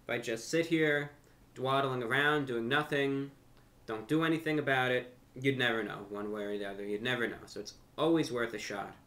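Male, young adult, American. Bright, sharp-edged voice, mid pitch; earnest casual vlog host talking straight to camera. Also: normal speed. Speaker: host of a video channel and radio show. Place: furnished room at home.

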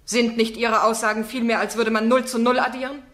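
An actress playing an ironic, insistent defence barrister in a radio play, speaking formally and rhetorically. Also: female voice, fairly high pitch, little pitch movement, a little fast, fairly bright tone, close to an everyday voice. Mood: impassioned pleading. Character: sharp, eloquent female defence barrister, probing and ironic. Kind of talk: German radio play acting.

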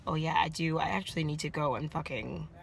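A woman's voice: low-pitched, fairly quick, gravelly, a little hiss or hum. A young woman chatting casually with her livestream viewers from a car, holding her phone at arm's length and cursing now and then. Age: young adult. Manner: relaxed, chatty, answers viewer comments, curses. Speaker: livestream host. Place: inside a car.

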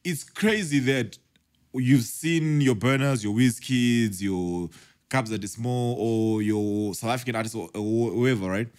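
A Zimbabwean man hosting a podcast, chatting casually with his guest into a studio boom mic. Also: unhurried, a little mellow. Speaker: podcast host. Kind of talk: podcast interview, casual chat. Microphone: studio mic on boom arm.

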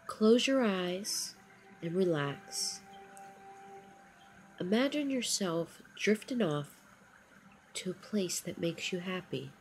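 A woman narrating a scripted guided meditation in a soothing, encouraging manner, with short phrases and long pauses. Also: lowish voice, rough recording. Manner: encouraging affirmations. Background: background music.

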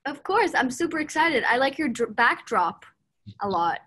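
A woman's voice: sits high, big pitch swings, moderate pace, fairly bright tone, husky. Upbeat young woman from Sweden on a video call. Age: young adult. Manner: chatty and upbeat, fillers and restarts. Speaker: singer being interviewed. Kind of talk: casual video-call interview. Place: small room indoors. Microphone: laptop mic on a video call, no earphones.

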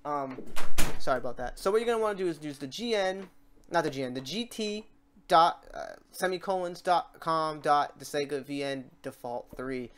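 A man hosting a casual software tutorial, rambling and thinking aloud as he works. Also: a little high, animated, moderate pace. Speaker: tutorial host. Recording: clearly noisy mic, keyboard clicks. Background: typing and mouse clicks.

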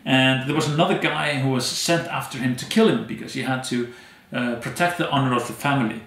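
A man in his thirties from Iceland, a native Icelandic speaker, telling stories as an interview guest with many pauses and fillers. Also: mid-range voice, fairly bright tone, echoey room. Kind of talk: conversational storytelling. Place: dim indoor room, seated in armchair.

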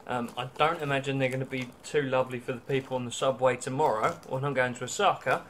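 A man's voice: medium pitch, steady tone, fast, fairly bright tone, a little hiss or hum. Wry British man on a webcam. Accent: British English. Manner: chatty and wry, with many ums and restarts. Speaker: travel vlogger. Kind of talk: casual food-tasting vlog. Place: small hotel room, indoors.

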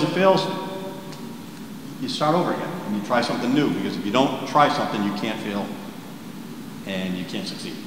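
A man in his sixties giving a keynote in a candid, plainspoken way, with frequent restarts, answering questions before a seated audience. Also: medium pitch. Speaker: keynote speaker. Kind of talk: conversational keynote Q and A. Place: large hall with a seated audience. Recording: echoey hall with background noise.

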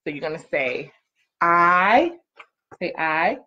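A woman in her thirties hosts a story time for children at an unhurried pace. She is animated and encouraging, stretching out phrases as she reads and chats. The sound is a slightly muffled webcam recording from a living room couch. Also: on the low side. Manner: animated, encouraging call-and-repeat delivery with drawn-out phrases. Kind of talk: storybook reading and casual chat with children. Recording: slightly dull home webcam audio.

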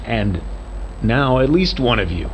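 Male actor as guilt-ridden lone watcher, radio drama, slow halting delivery, swinging intonation, brooding. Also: mid-range voice, big pitch swings, slight background noise, poor audio. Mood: bleak, regretful. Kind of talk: audio drama monologue.

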